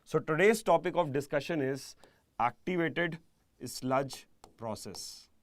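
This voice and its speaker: A man with an Indian accent giving a live online exam-prep engineering lecture, speaking deliberately and emphatically and repeating key phrases. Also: on the high side, dry recording.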